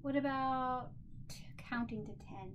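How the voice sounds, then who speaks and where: A woman's voice: high voice, unhurried, noisy background. A woman teaching children in an encouraging, simply worded way, like a teacher leading a lesson and read-aloud. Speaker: special education teacher.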